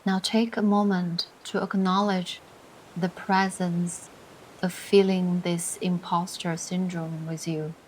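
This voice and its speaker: Slow, level-voiced woman guiding meditation. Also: on the low side, flat delivery, a little mellow, slight background noise, low-quality recording. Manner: soothing, deliberate, pausing between short phrases. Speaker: meditation guide. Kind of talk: guided meditation, scripted and instructional. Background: music.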